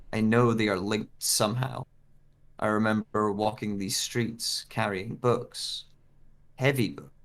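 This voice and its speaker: A man's voice: lowish voice, creaky. Man acting a bewildered, searching amnesiac man. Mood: lost, uneasy. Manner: deliberate, narratorial. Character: bewildered man with lost memory, searching, uneasy. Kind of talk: audio drama monologue, narrated script readthrough.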